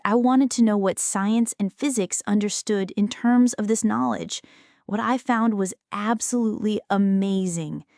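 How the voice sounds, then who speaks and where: A woman reading a scripted audiobook in a measured, clearly enunciated, explanatory way. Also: medium pitch, a little mellow, dry recording, studio quality. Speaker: audiobook narrator.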